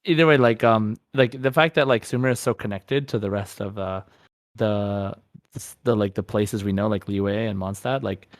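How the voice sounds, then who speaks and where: A man co-hosting a podcast, chatting casually about a video game in a halting, repetitive way as he searches for words. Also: lowish voice, dry recording, clean studio sound. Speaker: podcast co-host.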